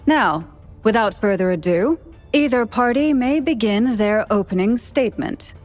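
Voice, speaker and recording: A composed presiding judge. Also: female voice, fairly high pitch, expressive, darkish tone. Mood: formal. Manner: formal, measured, authoritative. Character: composed female judge presiding over a hearing. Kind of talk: video game voice acting. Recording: game audio with faint background music. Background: faint music.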